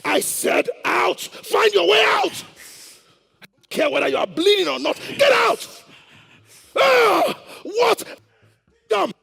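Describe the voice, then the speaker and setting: A man acts out an ambitious, menacing royal schemer in a Nigerian stage play. The voice is very high with a gravelly creak and swings widely, barking orders imperiously. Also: lively intonation, slow pace, long pauses, pushed and bright, shouting, exaggerated voice. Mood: furious. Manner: imperious, barking threats and proverbs. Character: domineering ambitious royal plotter, hot-tempered and menacing. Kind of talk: declamatory stage acting, Nigerian drama.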